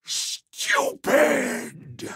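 A frail, embittered ancient tycoon near death in a video game. The strained voice creaks and breaks, leaping wildly in pitch. Words come extremely slowly, in breathy, halting gasps. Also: male voice, huge pitch swings, background noise, exaggerated voice. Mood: bitter, accusing. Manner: gasping, halting delivery. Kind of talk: video game dialogue acting.

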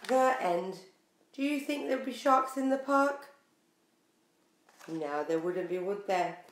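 A woman in her forties reading a picture book aloud to young children in an expressive, sing-song way. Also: medium pitch, slow pace, a little hiss or hum. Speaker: preschool storyteller. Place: small bare room indoors. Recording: echoey small room.

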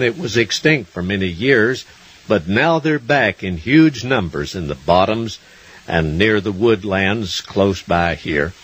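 An older man with an American accent and a husky voice, announcing a scripted folksy stage show as host. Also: lowish voice, poor audio. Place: wooden stage in a barn-style theatre. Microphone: stand microphone.

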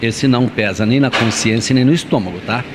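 Brazilian man, middling pitch, casual guest. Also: fairly quick, background noise. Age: middle-aged. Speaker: restaurant owner, interview guest. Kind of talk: casual chat. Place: restaurant dining room, indoors. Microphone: shared handheld reporter microphone.

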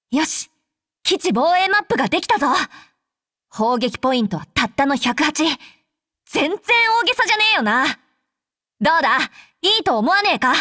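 A woman voicing a brash, tsundere young female android soldier in an anime game, with rough, blunt, tomboyish speech. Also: high-pitched, bright, forceful tone, no room sound, clean studio sound, heavily acted. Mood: flustered, demanding. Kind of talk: Japanese anime game character voice lines.

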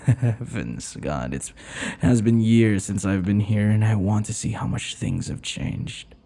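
A man voicing a teasing, possessive centuries-old vampire captor in an intimate ASMR roleplay, breathy and husky, mock-tender and taunting. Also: fairly low pitch, mellow, exaggerated voice. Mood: obsessive, infatuated. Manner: slow, mock-tender, taunting, often dropping to whispers. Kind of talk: ASMR boyfriend roleplay, intimate dramatic monologue.

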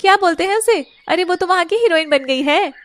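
A woman's voice: very high voice, animated, a little fast, a bit bright, pitched above the performer's own voice. A woman voicing a catty, teasing young fairy in a cartoon, with mocking, gossipy delivery.

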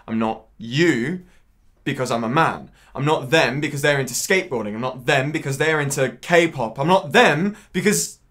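An actor playing a bitter, self-loathing man in a film monologue, speaking haltingly and searchingly, swearing and repeating his words. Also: fairly low pitch, fairly even intonation. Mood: agitated, bitter.

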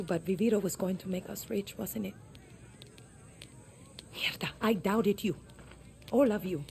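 A woman's voice: medium pitch, warm tone, slight background noise, natural voice. A woman playing a tough, determined young guerrilla leader in a video game, firm and commanding. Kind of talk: video game cutscene acting.

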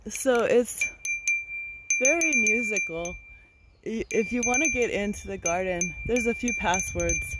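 A middle-aged woman with an American accent gives a casual, meandering garden tour, speaking hesitantly into a handheld phone outdoors. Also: a little high. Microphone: handheld phone at arm's length. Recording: clear outdoor background noise.

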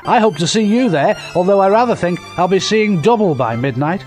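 A man's voice: high-pitched, expressive, a little fast, a little mellow. A man presenting on music radio, making links and announcements to listeners.

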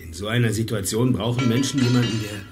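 A man's voice: fairly low pitch, fast, music in the background, lightly acted. An actor playing an assertive, shrewd male passenger in a German radio crime drama, confident, dry and probing. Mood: dry, challenging.

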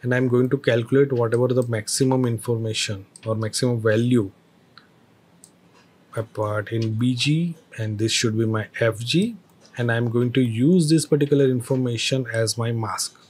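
A man teaching a screen-recorded software tutorial, explaining each step with hesitant phrasing and fillers. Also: medium pitch, moderate pace, slightly rough.

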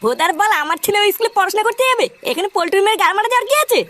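A man voicing a brash, boastful, foul-mouthed cartoon father through a pitched-up filter. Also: animated, very fast, no echo, exaggerated voice. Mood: boastful, angry. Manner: scolding, bragging, crude slang. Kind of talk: comic cartoon dubbing. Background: loud music.

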